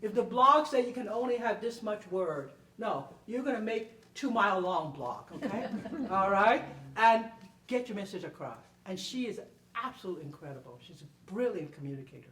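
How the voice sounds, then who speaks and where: A woman's voice: mid-range voice, lively intonation, normal speed, a bit bright. Emphatic woman in a roomy, distant recording. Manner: emphatic, repeats herself, checks in with "okay?". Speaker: panel speaker, performer answering an audience. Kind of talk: animated conversational storytelling to an audience. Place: indoor library event room, far microphone. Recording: roomy, distant event recording with faint noise.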